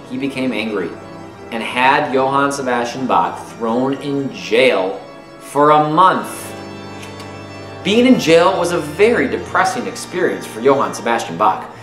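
Man, 30s, teacher-style read-aloud of a kids' nonfiction book. Lively, expressive pitch movement, roomy home recording. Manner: expressive, clearly phrased for young listeners. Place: small room at home, indoors. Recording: roomy echo with music behind. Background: music.

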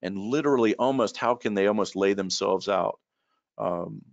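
A man's voice: fairly low pitch, moderate pace, creaky, no room sound. Rambling man on a video call. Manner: rambling, repeats words, many fillers. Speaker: guest expert and trainer. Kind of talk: casual storytelling in a video call. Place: home room. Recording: dull lo-fi call audio.

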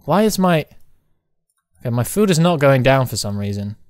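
A man's voice: medium pitch, big pitch swings, normal speed, clear, resonant tone, dry recording, studio quality. A man giving casual gaming commentary to a co-player, often chuckling as he talks. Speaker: video game player. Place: indoor voice-over close on mic during gameplay.

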